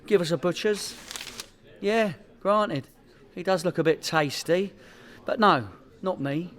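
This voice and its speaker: A man's voice: sits high, slow, fairly bright tone. A man with a London accent playing a cocky, deluded young petty criminal in a comic radio play, bragging in matter-of-fact slang. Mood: cocky, evasive.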